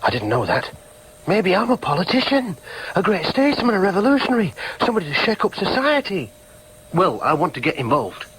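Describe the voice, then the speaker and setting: Keen young lad, needy son type, high voice, really swoopy, gushes and stammers, radio play. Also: male voice, a little fast, slight background noise, rough recording. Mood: eager, nervous. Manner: excitable, gushing, stammers over first words. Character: eager, needy young son seeking his father's approval. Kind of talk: naturalistic radio drama acting, dialogue and inner thoughts.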